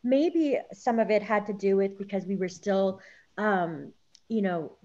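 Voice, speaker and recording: A woman journalist on a panel over a video call, reflective and halting, with many fillers. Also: medium pitch, darkish tone, dull audio. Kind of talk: panel discussion.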